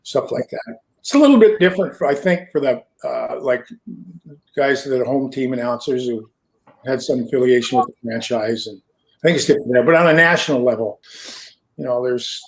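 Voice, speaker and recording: A man's voice: mid-range voice, brisk, gravelly, rough recording. A man, a hockey broadcaster, chatting casually and rambling with plenty of fillers on a video call. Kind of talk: casual chat.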